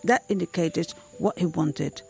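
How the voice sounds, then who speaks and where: A woman's voice: lowish voice, unhurried, creaky, darkish tone, breathy edge, faint music behind. A woman giving a translated first-person voice-over, telling a story as an interpreter.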